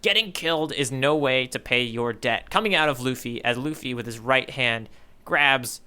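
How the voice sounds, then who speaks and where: A man's voice: medium pitch, normal speed, sharp tone, clear, resonant tone. A man co-hosting a podcast in casual discussion, talking in a playfully grandiose, rambling way. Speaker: podcast co-host. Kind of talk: casual manga discussion banter.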